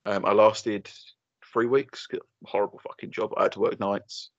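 A man's voice: on the low side, steady tone, normal speed, no room sound. A young man co-hosting a podcast, telling rambling, sweary stories and laughing often. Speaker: podcast co-host. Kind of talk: casual banter. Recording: dull lo-fi dry sound.

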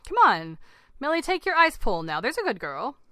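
A woman's voice: medium pitch, animated, moderate pace, sharp tone, clean tone, no room sound, clean studio sound. A woman voicing an eager, chummy family dad who coaxes emphatically in a story reading. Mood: unsettled, insistent. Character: eager family dad growing confused and unsettled.

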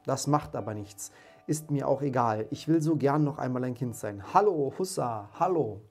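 A man's voice: medium pitch, moderate pace, a little mellow, soft background music, natural voice. A man voicing a reformed old miser from a storybook, exclaiming with great emotion and emphasis. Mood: giddy joy. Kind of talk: dramatised storybook reading.

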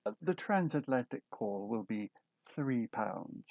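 A man's voice: medium pitch, sing-song, a little slow, creaky, a little mellow, no echo, exaggerated voice. A man acting a prim, flustered hotel desk clerk in a radio play, deferential and fussy. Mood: stiffly deferential. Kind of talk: old-time radio drama acting.

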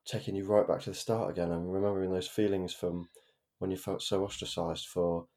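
A man's voice: deep voice, little pitch movement, fairly quick, dark tone. A man hosting a podcast, asking questions in a casual, empathetic way and swearing now and then. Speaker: podcast host. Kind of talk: casual interview chat.

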